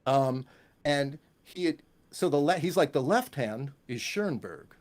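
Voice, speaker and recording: Male composer guest, medium pitch, casual storyteller who quotes others as he goes. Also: a little slow. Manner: animated anecdotes, quotes others and sings musical examples. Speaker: guest, composer and music teacher.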